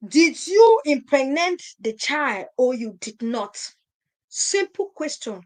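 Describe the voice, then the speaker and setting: A woman hosting a live stream, talking emphatically, repeating her points and firing rhetorical questions. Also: high-pitched. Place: at home in Europe.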